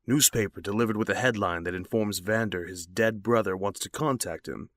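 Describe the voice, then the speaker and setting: An actor playing a secretive, intense noir crime accomplice in an audio drama. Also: male voice, lowish voice, a little fast, a bit bright, no echo, clean studio sound, natural voice. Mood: sly, ominous.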